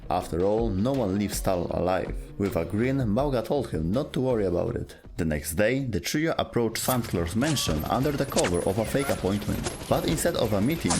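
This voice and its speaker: A man narrating a scripted story as a dramatic storyteller, in short clipped phrases. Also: on the low side.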